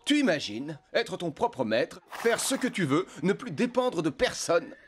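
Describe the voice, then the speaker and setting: Expressive young cartoon horse, longing. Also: male voice, high voice, unhurried, slight background noise. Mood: wistful, complaining. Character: restless young male ranch horse longing for freedom. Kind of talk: animated series voice acting, French dub.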